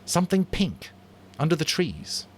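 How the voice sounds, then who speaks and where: A man voicing an eager, bossy boy who leads a band of hunters, talking in an urgent, excitable way. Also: a little high, a little slow, slight background noise, pitched above the performer's own voice. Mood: urgent, excited.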